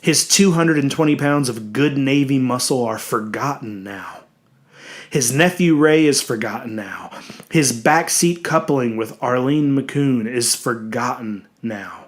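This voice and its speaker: A man reading a horror story as dramatic, scripted narration, deliberate and suspenseful, dwelling on his words. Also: medium pitch.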